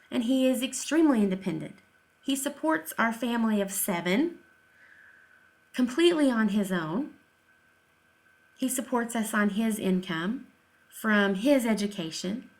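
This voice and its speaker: Emphatic woman giving opinionated commentary. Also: fairly high pitch. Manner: emphatic, sarcastic, rhetorical questions. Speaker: video commentator, deaf-education advocate.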